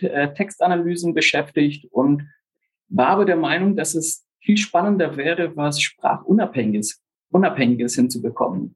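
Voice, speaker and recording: A man in his forties who grew up in Brazil, talking casually as a startup founder and podcast guest, relaxed and chatty with plenty of fillers and dry self-mocking humor. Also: a little high, husky, rough recording. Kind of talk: casual interview talk.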